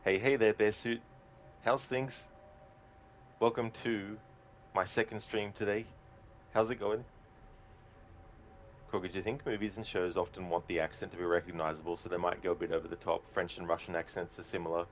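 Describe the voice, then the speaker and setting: A man chatting casually and haltingly as he live-streams a video game, musing aloud to viewers. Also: deep voice, steady tone, a little hiss or hum. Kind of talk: casual chat while gaming.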